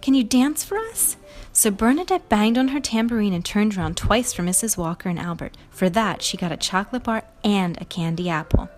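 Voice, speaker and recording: A woman's voice: mid-range voice, brisk, few pauses. A woman hosting a read-aloud, telling a storybook to young children with emphatic expression. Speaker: storybook reader and show host.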